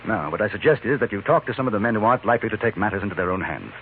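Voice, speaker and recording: A low, gravelly gentleman adventurer, formal and restrained but with plenty of movement in the voice. It is 1950s western radio drama with a muffled, old broadcast sound. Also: expressive, very fast, slight background noise. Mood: firm, calm. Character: composed, principled gentleman adventurer, measured and law-minded. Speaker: lead actor and first-person narrator.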